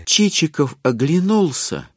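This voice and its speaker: A man's voice: on the high side, very animated, measured pace, slightly rough, no echo. A man narrating a literary audio play as a storyteller, in a studio production.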